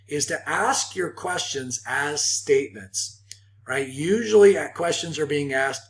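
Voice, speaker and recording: Man presenting a structured training lesson. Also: fairly high pitch. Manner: explanatory, emphasizes key words, often checks in with "right?". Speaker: phone skills trainer.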